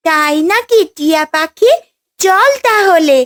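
A woman's voice: very high voice, slow, fairly bright tone, heavily acted. A woman voicing a lonely, girlish young princess in a fairy-tale cartoon, in a plaintive manner. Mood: hopeful. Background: background music.

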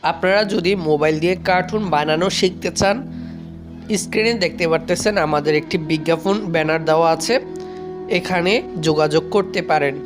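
A presenter of a cartoon channel makes a promotional announcement. The voice is mid-pitched, and music plays behind it. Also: brisk.

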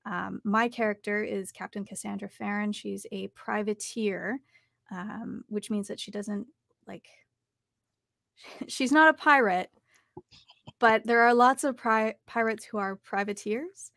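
Creaky-voiced young woman in an interview. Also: medium pitch, unhurried, a bit bright, no room sound, studio quality. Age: young adult. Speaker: voice actor, interview guest. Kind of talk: casual interview. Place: small home recording studio, indoors.

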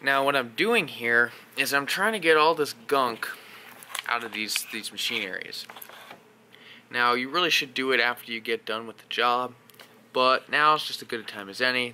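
A young man giving a casual how-to vlog while working in a workshop garage, relaxed and full of uh and um. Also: mid-range voice, moderate pace, a little hiss or hum. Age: young adult. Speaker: vlogger doing equipment maintenance. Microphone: action camera close up.

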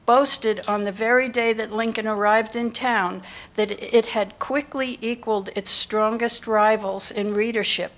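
A woman's voice: a little high, fairly even intonation, a little slow, a bit bright. A woman in her sixties reading scripted historical narration aloud at a podium. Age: 60s. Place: lecture hall stage before a seated audience. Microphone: podium microphone.

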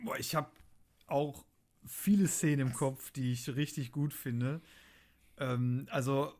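A man's voice: medium pitch, fairly even intonation, slow pace, a little mellow. A man co-hosting a podcast, casually describing things in everyday conversational speech. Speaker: podcast co-host. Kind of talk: casual chat, describing scenes.

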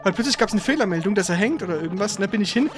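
A man's voice: high-pitched, animated, very fast. A man chatting casually and ramblingly as he co-hosts a live game stream. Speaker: streamer and co-host. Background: game music.

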